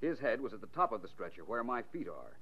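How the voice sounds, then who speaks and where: An actor in a 1940s radio drama playing a shrewd, unflappable private detective, urbane and wry. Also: male voice, medium pitch, very animated, fast talker, sharp tone, husky, heavily acted. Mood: explaining, confident. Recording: muffled vintage 1940s radio recording.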